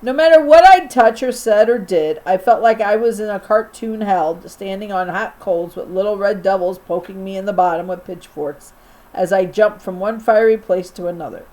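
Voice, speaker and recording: A woman teacher reading a children's novel aloud in an expressive storytelling style for a young class. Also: mid-range voice, low-quality recording.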